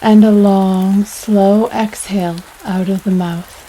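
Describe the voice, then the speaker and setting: A woman leading a guided yoga nidra meditation in a soothing, unhurried way, pausing between phrases. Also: mid-range voice, a little hiss or hum, low-quality recording. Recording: steady rain sounds over voice. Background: rain sounds.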